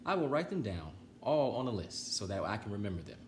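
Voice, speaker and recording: A man's voice: lowish voice, sing-song, brisk, a little hiss or hum. A man voicing a fretful, stubborn toad in a children's story, exclaiming and wailing when upset. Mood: eager.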